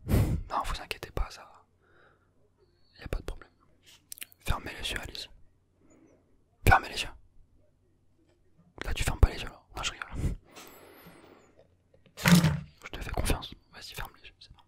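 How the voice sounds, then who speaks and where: A young adult speaks in a soft, breathy whisper with almost no voiced sound. The manner is that of an ASMR examiner who is playful and teasing while staying gently reassuring. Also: normal speed, long pauses. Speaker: ASMR roleplayer playing an examiner.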